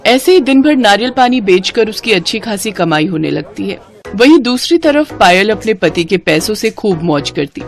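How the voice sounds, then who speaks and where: Woman storyteller, noisy backing. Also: mid-range voice. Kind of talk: scripted children's story narration.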